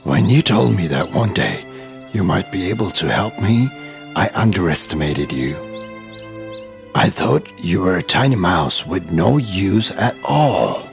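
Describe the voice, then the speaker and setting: A man voicing a grateful, regal lion king in a children's storybook, with a deep, gravelly voice and a solemn delivery. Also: very animated, measured pace, over music, heavily acted.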